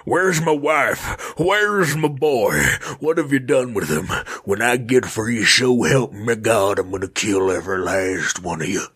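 A man voicing a furious, desperate cowboy father held captive, with a slow, strained, shaky delivery. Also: fairly low pitch, animated, fairly bright tone, husky, pitched below the performer's own voice, exaggerated voice. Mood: furious, vengeful.

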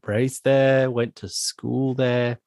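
A man in his forties from Zimbabwe, a church elder telling his life story in an interview, talking thoughtfully with pauses and restarts. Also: medium pitch, little pitch movement, gravelly, a little mellow, no room sound. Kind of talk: conversational interview.

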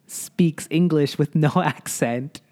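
A man's voice: on the high side, slow pace. A young man from Mexico, casually chatting as a podcast co-host, telling stories with lots of fillers. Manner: relaxed storytelling with frequent "like" fillers. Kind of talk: casual chat.

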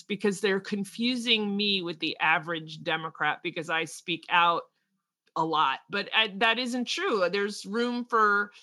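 A woman co-hosting a podcast, chatting casually about politics in a lively way, with quick asides and frequent laughs. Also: medium pitch. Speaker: podcast co-host.

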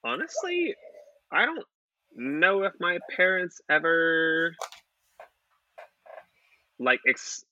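Guy late 20s, bright voice, rambly podcast chat full of restarts, dry kinda dull mic. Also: fairly high pitch, wildly swooping pitch, drawn-out words, long pauses, a little hiss or hum. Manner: rambling with many restarts and fillers, swears casually. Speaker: podcast co-host. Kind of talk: casual chat.

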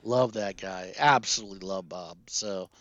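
A man's voice: low-pitched, normal speed, a bit bright, dry recording, muffled recording. A man from the Czech Republic chatting casually on a podcast, rambling with crude jokes and many fillers.

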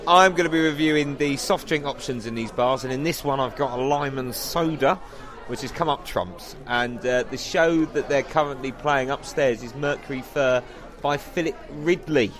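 A man's voice: mid-range voice, moderate pace, few pauses, crowd noise. A man hosting a podcast in casual pub chat. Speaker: podcast host. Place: out at a London pub.

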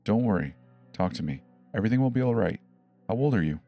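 A man's voice: fairly low pitch, animated, fast talker, dark tone, soft background music. A man playing an emergency dispatcher in a dramatized horror story, reassuring and methodical, probing with short questions. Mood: soothing. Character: calm, insistent adult emergency dispatcher questioning a child.

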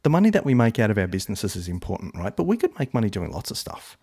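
Man, podcast host, fairly low voice, friendly, encouraging. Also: wildly swooping pitch, fast talker, darkish tone, husky. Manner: friendly, encouraging, many fillers. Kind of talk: podcast interview.

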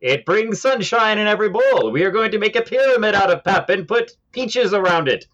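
Bombastic, high-pitched old-time radio announcer. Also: male voice, lively intonation, brisk, fairly bright tone, lo-fi sound. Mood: hammy, upbeat. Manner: exaggerated, booming showman delivery. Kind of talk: 1940s radio advertising announcer.

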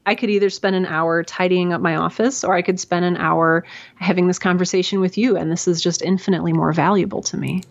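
Low-voiced woman chatting informally, lo-fi sound. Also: steady tone, a little fast, vocal fry. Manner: informal, thinking aloud, self-deprecating. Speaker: podcast guest, self-employed creative professional. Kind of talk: conversational interview answers. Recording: dull, lo-fi audio.

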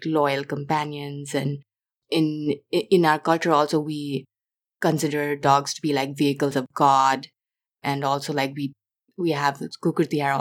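A young adult woman from Nepal, a guest chatting casually in an interview, with many fillers and restarts. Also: sits low.

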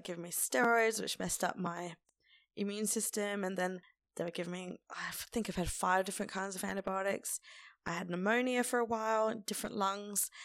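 A woman hosting a casual solo podcast in an informal, conversational way, with a croaky, hoarse voice. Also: mid-range voice, no room sound, clean studio sound. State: lingering hoarseness after laryngitis. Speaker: podcast host.

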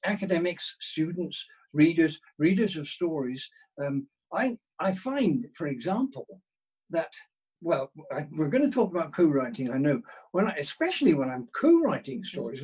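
Husky-voiced man, animated and halting. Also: fairly high pitch, poor audio. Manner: halting, rambling with self-interruptions and asides. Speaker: guest, novelist. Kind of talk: casual interview chat about writing.

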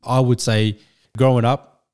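Mellow-voiced man, reflective guest. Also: mid-range voice, flat delivery, clean tone. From Australia. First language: Vietnamese. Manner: measured, thoughtful, with frequent restarts and fillers. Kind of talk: reflective storytelling.

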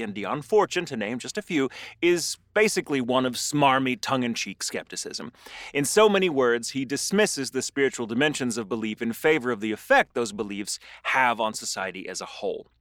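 Man narrating with very lively intonation. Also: mid-range voice, a little fast, no echo, studio quality. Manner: erudite, literary phrasing. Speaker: podcast presenter reading an essay aloud. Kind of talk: scripted narration with conversational asides.

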